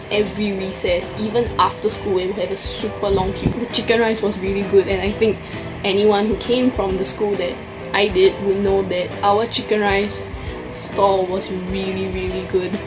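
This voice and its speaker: A young woman from Singapore with a Singaporean English accent, chatting casually through a question tag in her vlog. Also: medium pitch. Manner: chatty, answers questions from a list. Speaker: vlogger.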